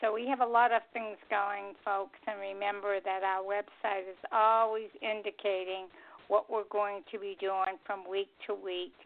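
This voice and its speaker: A woman's voice: medium pitch, little pitch movement, moderate pace. Earnest woman co-host on a thin phone line. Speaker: radio show co-host. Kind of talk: conversational show announcements. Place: call-in internet radio show over phone.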